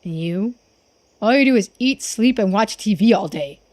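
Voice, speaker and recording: A woman's voice: medium pitch, lively intonation, normal speed, darkish tone, close to an everyday voice. A woman voicing a stern, domineering male businessman from a visual novel, with a scornful, commanding manner. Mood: accusing, contemptuous.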